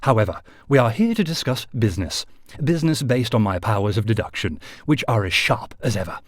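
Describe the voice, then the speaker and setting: Male narrator doing a defiant detective, commanding, a touch husky. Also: on the low side, very animated, fairly quick, dry recording. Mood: insistent. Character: proud, sharp-witted male detective, ill but defiant.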